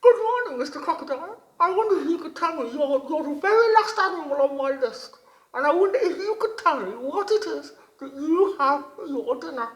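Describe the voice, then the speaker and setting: Woman doing a squeaky polite little frog. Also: lively intonation, brisk. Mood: chirpy polite. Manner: very high, bubbly and courteous. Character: polite, chirpy little male wide-mouthed frog. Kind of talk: storybook read-aloud. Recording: echoey room.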